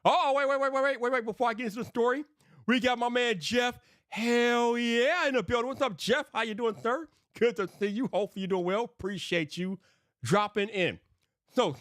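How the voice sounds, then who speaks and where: Tech streamer, man with a lisp, slightly high voice, earnest and rambling, dry close mic. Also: a bit bright, dry recording. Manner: earnest, rambling, sometimes hypes viewers. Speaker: tech YouTube creator live streaming. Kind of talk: casual livestream talk to chat.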